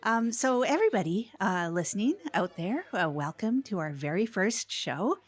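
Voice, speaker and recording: A woman's voice: medium pitch, big pitch swings, normal speed. A woman playing a nervous, upbeat small-town community radio host in an audio comedy, with a hesitant, rambling, giggly manner. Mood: nervous, cheery. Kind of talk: improvised-sounding comedy community radio chat.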